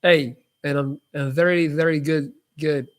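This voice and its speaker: Slightly high-voiced man chatting on a livestream. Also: steady tone, measured pace. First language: Dutch. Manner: reads chat messages aloud and answers loosely, with frequent restarts. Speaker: livestream host answering viewer questions. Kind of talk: casual live Q&A chat.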